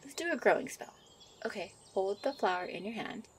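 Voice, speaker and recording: Shy fairy sweetheart played by a woman for ASMR roleplay: soft, teasing, flustered, medium pitch, birds and ambient noise behind. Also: expressive, brisk, close to an everyday voice. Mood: gentle, instructive. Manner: soft, coy, easily flustered. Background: birdsong and outdoor ambience.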